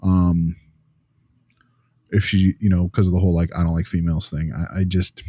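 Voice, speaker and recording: Man, low voice, dry sound, blunt, opinionated, informal podcast co-host. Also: level tone, normal speed, mellow, slightly rough, no room sound. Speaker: podcast co-host and clinical discussant. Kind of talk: casual podcast discussion.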